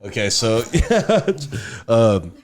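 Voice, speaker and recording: A man's voice: mid-range voice, big pitch swings, very slow, a crowd in the background. A young man hosting a studio podcast, chatting loosely with casual swearing and frequent laughs. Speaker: podcast host.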